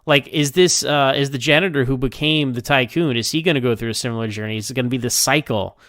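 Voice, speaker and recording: Smooth, dry-sounding man chatting casually. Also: medium pitch, a bit bright, dry recording. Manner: rambling, halting, with repeated words. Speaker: podcast listener sending recorded feedback. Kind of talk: casual, unscripted episode review.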